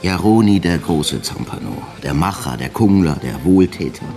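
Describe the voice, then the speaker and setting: A man's voice: low voice, expressive, normal speed, a little mellow, soft background music. A man acting a dry, brooding senior detective in a naturalistic radio crime drama, musing wryly and asking clipped questions. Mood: sardonic.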